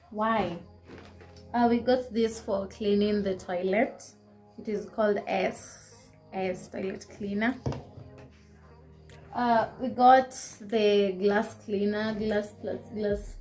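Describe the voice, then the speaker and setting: A young woman with a Kenyan accent talks easily through a haul of household shopping, seated in her living room and facing the camera. Her voice sits at a middle pitch with a conversational lilt. Soft music plays faintly underneath. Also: steady tone, slow. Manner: chatty, code-switching, shows items to camera. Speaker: vlogger. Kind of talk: casual shopping haul chat.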